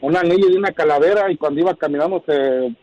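A man's voice: a little high, fast, a little mellow, no room sound, dull audio. A man calling in to a radio show and chatting casually. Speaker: radio phone-in caller. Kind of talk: casual chat.

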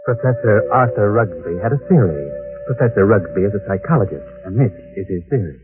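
A man's voice: lowish voice, lively intonation, lightly acted. The Whistler, voiced by a man, an ominous narrator. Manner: slow, ominous. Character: mysterious adult male night-walking narrator, ominous. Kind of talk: 1940s radio drama narration. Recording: tinny old radio with music.

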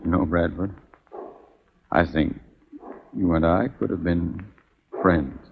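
An actor playing a dying man in a 1940s radio western, speaking weakly and haltingly. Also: deep voice, fairly even intonation, vocal fry, exaggerated voice. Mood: weak, resigned. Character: dying man confiding quietly to an adversary. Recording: dull, slightly noisy 1940s radio recording.

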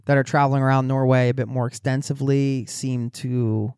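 A man talks in an earnest, upbeat, rambling way, his pitch often lifting as he builds a thought. His voice sits in a middle range for a man. The recording is dry and close. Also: little pitch movement, brisk, a little mellow, clean tone, no room sound. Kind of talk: casual chat.

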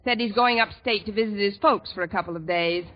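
A woman's voice: mid-range voice, a little fast, lightly acted. An actress playing a wry, good-humored single woman who delivers dry quips in a 1940s radio comedy. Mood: dryly resigned. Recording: noisy dull 1940s radio recording, studio audience. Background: studio audience.

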